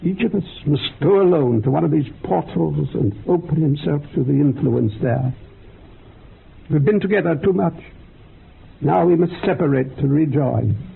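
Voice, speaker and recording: An actor playing the solemn male leader of an occult research circle in a radio drama, speaking formally and portentously in a creaky, husky voice. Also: on the high side, moderate pace, heavily acted. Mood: commanding, incantatory. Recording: dull, muffled radio drama recording.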